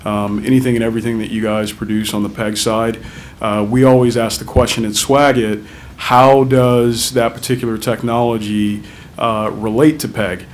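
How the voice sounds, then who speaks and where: A man with a deep voice giving a conference talk as a vendor, casual and full of ums. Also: a little hiss or hum. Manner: casual, many ums and uhs. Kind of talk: conference presentation. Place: conference room with audience.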